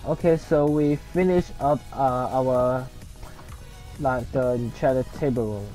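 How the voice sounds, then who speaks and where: A young man from Hong Kong speaks English with a Hong Kong accent, his first language being Cantonese. He sounds tired and rambles, restarting his sentences often. His voice is dark and mellow, with soft music playing behind him. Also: mid-range voice. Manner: rambling, halting, with frequent restarts. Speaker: let's play gamer. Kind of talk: casual gameplay commentary.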